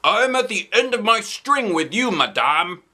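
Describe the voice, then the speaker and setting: A man voicing a brash, excitable, gushing kindergarten girl in a storybook, childish and over-dramatic. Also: high voice, moderate pace, pitched above the performer's own voice, heavily acted. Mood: growly, fed up.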